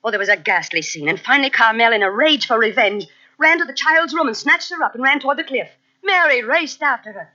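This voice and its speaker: An actress playing a fanatical, coldly devoted nurse, quietly unhinged, in dramatic old-time radio mystery style. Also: female voice, on the high side, lively intonation, fairly quick, hardly any pauses. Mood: grim recounting. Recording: muffled old radio cassette transfer.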